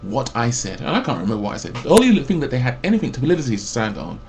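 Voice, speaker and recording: A man in his forties, a mental health support worker, ranting about his job in a loud, rambling way full of fillers and restarts. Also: mid-range voice, very animated, very fast, vocal fry, a little hiss or hum. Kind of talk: rambling personal rant.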